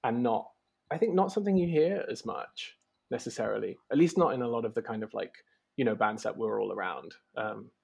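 A male musician talks loosely through his band's influences, stopping often on ums and likes. His voice has a gravelly edge with a husky, breathy grain. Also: medium pitch, brisk, darkish tone. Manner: hesitant, many ums and likes. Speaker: band member, guitarist. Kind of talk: casual interview chat.

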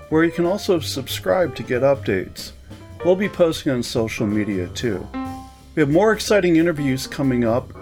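A man's voice: mid-range voice, darkish tone. A male podcast co-host reading show notes and asking long, detailed questions. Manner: friendly, informal announcements and long questions. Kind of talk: podcast hosting.

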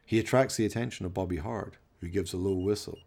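A man's voice: deep voice, quick pace, gravelly, darkish tone, a little hiss or hum. A man narrating a radio play as dramatic scripted storytelling.

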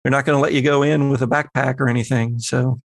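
Gravelly man running a tabletop game. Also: medium pitch, steady tone, quick pace. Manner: instructive, guiding players with questions. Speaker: game master and podcast host. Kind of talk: explaining tabletop game rules to players. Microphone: close personal microphone on a remote group call.

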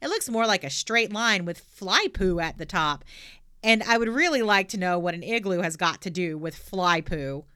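A woman voicing a bold, cheeky young girl who tells loud, boastful tall tales. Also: fairly low pitch, expressive, moderate pace, clear, resonant tone, no echo, studio quality. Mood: indignant.

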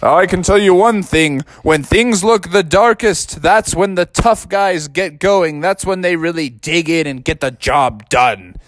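A man voicing a lofty, wise old male statesman who speaks in grand, drawn-out phrases. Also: a little high, fairly bright tone, no room sound. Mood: solemn.

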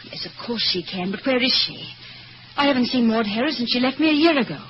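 A woman's voice: a little high, animated, fast, dull audio, natural voice. An actress playing a well-bred, indignant lady in an old radio melodrama. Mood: indignant, pleading. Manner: emotional, dramatic. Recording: noisy old radio recording.

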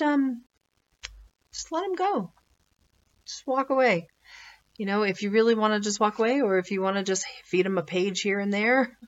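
A woman giving informal motivational advice in a conversational, halting way, repeating phrases for emphasis. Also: lowish voice, lo-fi sound. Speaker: motivational spiritual speaker.

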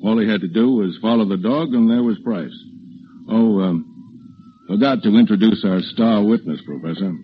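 Actor as low-voiced, businesslike police lieutenant. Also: male voice, natural voice. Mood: matter-of-fact. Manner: measured, businesslike. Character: matter-of-fact police lieutenant explaining a case. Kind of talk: 1940s radio drama acting. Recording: muffled, noisy 1940s radio recording.

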